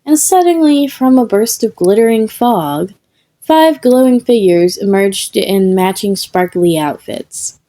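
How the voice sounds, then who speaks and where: Woman reading a funny fanfic, unhurried, soft mellow tone. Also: mid-range voice, animated. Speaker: podfic narrator. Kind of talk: scripted comedic story narration.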